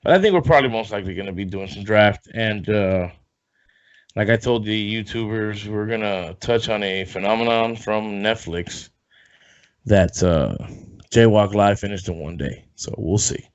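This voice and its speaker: A man hosting a casual sports podcast, rambling and loose, swearing offhand, a bit hoarse as if coming down with something. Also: fairly low pitch, moderate pace. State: losing his voice, feeling sick. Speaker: podcast host. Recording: dull lo-fi sound.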